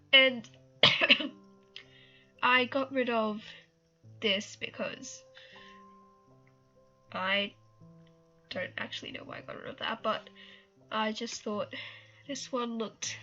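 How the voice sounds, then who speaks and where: A woman's voice: a little high, steady tone, drawn-out words, long pauses, sharp tone, dull audio, faint music behind. A young woman with a cold, rambling casually through an art tutorial and apologizing often. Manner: rambling, self-conscious, laughs and apologizes often. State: has a cold. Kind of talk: casual screen-recorded tutorial.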